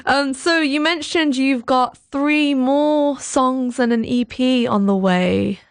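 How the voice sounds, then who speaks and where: Young female radio interviewer, casual and chatty, higher-pitched, close dry recording. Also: takes it slowly, smooth, no echo.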